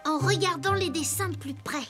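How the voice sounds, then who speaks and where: A woman's voice: extremely high pitch, normal speed, music in the background. A woman voicing an eager little wooden boy toy detective in a children's cartoon, earnest and inquisitive. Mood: curious, determined.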